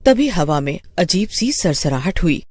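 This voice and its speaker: Slow horror story narrator. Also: mid-range voice, big pitch swings, darkish tone, breathy edge, no room sound, studio quality.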